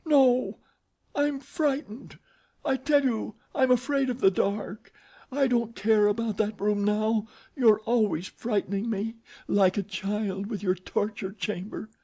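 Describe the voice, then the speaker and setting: A man's voice: fairly even intonation, slow, mellow, full character voice. A man voicing a frightened young woman who pleads anxiously in an audiobook. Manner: pleading, anxious, breathy high falsetto. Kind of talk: audiobook character voice.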